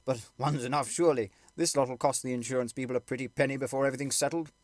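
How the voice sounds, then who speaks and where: A man's voice: mid-range voice, fairly even intonation, fast, dry recording, lightly acted. A man voicing a cheerful, good-humoured, gossiping male neighbour in an audiobook story.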